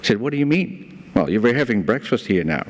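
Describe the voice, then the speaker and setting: A man's voice: fairly low pitch, big pitch swings, fairly quick. A man from the Netherlands with a Dutch accent, speaking to a conference audience and telling stories with a light, self-deprecating humor. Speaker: guest speaker. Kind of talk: conference presentation. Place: conference hall. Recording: hall reverb from a lecture room.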